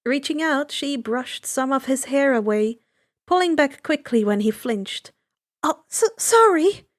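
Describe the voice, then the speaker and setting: A woman's voice: high-pitched, a little slow. A woman reading fan fiction aloud as scripted story narration.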